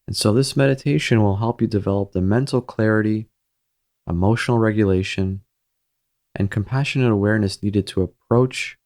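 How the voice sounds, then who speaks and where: Low, creaky-voiced male meditation guide. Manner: gentle, soothing, measured with pauses.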